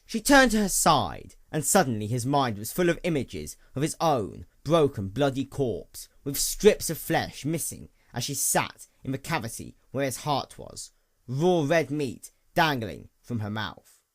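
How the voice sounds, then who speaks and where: Male story narrator, animated pitch, expressive dramatic read. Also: measured pace. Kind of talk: dramatic scripted narration of fan fiction.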